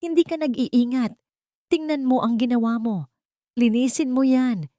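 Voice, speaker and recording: Woman as a bossy husband, scornful, commanding, raised pitch, dry close recording, audio drama. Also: moderate pace, warm tone, dry recording, close to an everyday voice. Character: scornful, bossy young husband barking orders.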